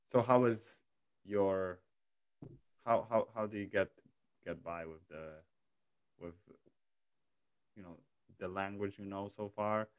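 Low-voiced man asking curious questions. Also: steady tone, takes it slowly, pauses often, clean tone, no echo. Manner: curious, asks questions with stammered restarts. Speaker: host. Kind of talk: casual interview chat.

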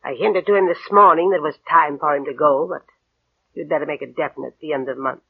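An older, complaining aunt in a 1940s radio play. Her voice is rough and husky, her manner demanding and scolding. The recording is thin and phone-like. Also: low-pitched, fairly even intonation, fast talker, dry recording. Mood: imperious, fretful. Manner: scolding, sarcastic, fussy. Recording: tinny, narrow vintage radio recording.

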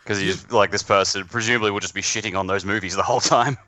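A man's voice: lowish voice, fairly even intonation, fast, pushed and bright, no room sound. An Australian man chatting casually as a podcast guest, blunt and self-deprecating, with plenty of swearing. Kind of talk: casual chat.

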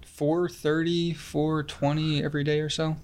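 A man's voice: a little high, flat delivery, unhurried, a little mellow. A young man in his twenties from Indiana, a guest on a casual podcast, answering modestly and a little dryly. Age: 20s. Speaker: guest, English teacher. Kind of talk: casual interview.

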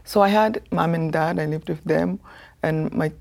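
A woman from Rwanda in her fifties with a Rwandan accent, telling her life story as a guest in an earnest, soft-spoken way. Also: sits low, fairly even intonation, moderate pace, vocal fry. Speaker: guest, author.

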